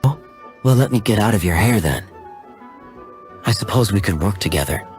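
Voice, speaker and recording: A man voicing a wry, smooth-talking swordsman in a video game, with a teasing manner. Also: fairly low pitch, animated, moderate pace, darkish tone, faint music behind, lightly acted. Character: wry, smooth-talking ice-wielding swordsman, adult male.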